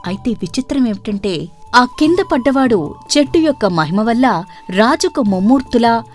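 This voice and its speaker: Female narrator for children's tales: expressive, fairly high voice, light music behind. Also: normal speed. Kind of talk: scripted children's story narration.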